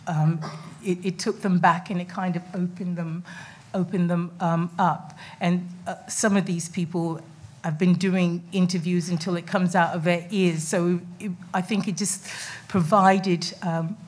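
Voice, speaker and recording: A woman's voice: fairly low pitch, level tone, measured pace. A middle-aged woman speaking on a festival panel in a conference room, talking chattily with many fillers and restarts. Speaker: panel speaker, documentary maker. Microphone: table mic on stand.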